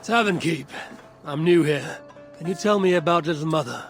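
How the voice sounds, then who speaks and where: A man acts out a stoic Viking warrior for a video game. The voice is gravelly and creaky at a middling pitch, grim and determined, with loud music behind it. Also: moderate pace, close to an everyday voice. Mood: calm, probing. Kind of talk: video game cutscene acting.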